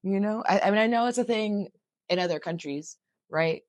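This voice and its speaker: A young woman with a raspy voice, chatting bluntly and jokingly, swearing freely, as a podcast co-host. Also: mid-range voice, normal speed, darkish tone, no room sound. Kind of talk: casual chat.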